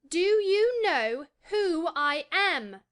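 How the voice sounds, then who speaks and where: A woman's voice: sits high, expressive, a little slow, bright, forceful tone, pitched above the performer's own voice, exaggerated voice. A woman voicing a proud, imperious young boy in a storybook, commanding and indignant.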